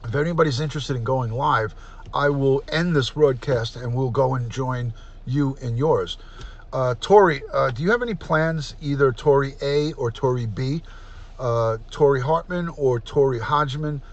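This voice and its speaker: New guy hosting a livestream, chatty, repeats himself, keeps clearing his throat. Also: medium pitch, moderate pace, lo-fi sound. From New York. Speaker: livestream host, retired detective. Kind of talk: casual livestream chat, reading comments aloud.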